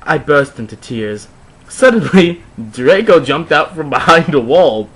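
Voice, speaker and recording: A man's voice: fairly high pitch, lively intonation, measured pace, a bit bright, husky. A man doing a wry, theatrical dramatic reading of a comically bad story, with mocking asides as narrator. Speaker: narrator reading fan fiction aloud.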